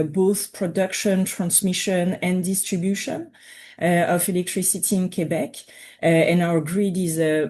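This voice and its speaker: Soft-spoken French-accented woman, video call. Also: on the low side. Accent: French Canadian. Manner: hesitant, many fillers and restarts. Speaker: utility panelist. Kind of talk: webinar presentation.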